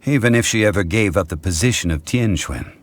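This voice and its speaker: A deep, formal scholarly advisor. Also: male voice, animated. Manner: measured, formal and explanatory. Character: composed, learned man, a calm scholarly advisor. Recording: game audio with music behind.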